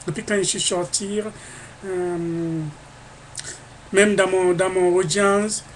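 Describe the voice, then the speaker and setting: A man's voice: high voice, flat delivery, slow, a little hiss or hum, poor audio. A man from Africa speaking into his webcam in an emphatic, indignant monologue, often repeating his phrases. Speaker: vlogger.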